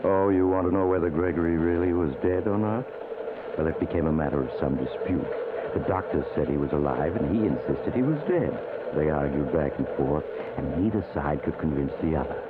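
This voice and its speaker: An actor playing a mysterious traveler who confides eerie tales as the host of a 1940s radio show. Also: male voice, a bass voice, a little fast. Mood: sly, confiding. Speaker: host and narrator. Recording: very noisy, tinny vintage radio recording.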